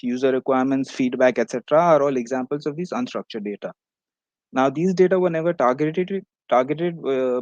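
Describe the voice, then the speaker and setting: A man's voice: mid-range voice, darkish tone, no room sound. A man giving a prepared academic presentation as a researcher at an online conference.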